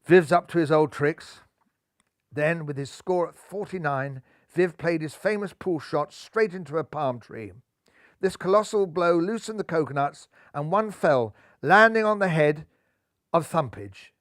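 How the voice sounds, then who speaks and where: A man in his sixties reading a children's story aloud in a lively, theatrical way, seated in a living room with a lapel mic. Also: on the high side, unhurried, no echo, studio quality. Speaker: storybook narrator.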